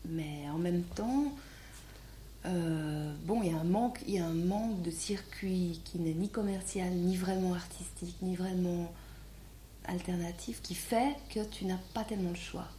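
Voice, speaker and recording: Woman guest, low and dark tone, hesitant answers, faint room noise behind. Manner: hesitant, measured, thoughtful. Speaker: filmmaker guest. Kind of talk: interview answers. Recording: roomy with faint ambience.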